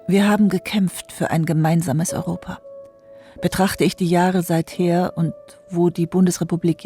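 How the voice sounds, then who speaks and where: An actress playing the reflective, disillusioned ghost of a parliamentarian, speaking a measured literary monologue in a radio drama. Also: female voice, lowish voice. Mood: awed, reflective. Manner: measured, pensive.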